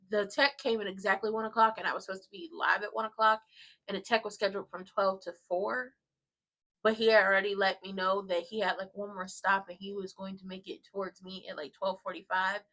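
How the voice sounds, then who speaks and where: A congested woman chats loosely and rambles to her live-stream audience from home. Her voice is bright and forward, and her tone stays casual and conversational throughout. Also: mid-range voice, steady tone, a little fast, low-quality recording. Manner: rambling and chatty, addresses viewers directly. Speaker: live-stream host of a soap watchalong.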